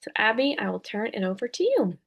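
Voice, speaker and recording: A woman's voice: mid-range voice, big pitch swings, quick pace. A woman hosting a webinar as a dietitian, welcoming attendees and introducing the speaker from prepared notes.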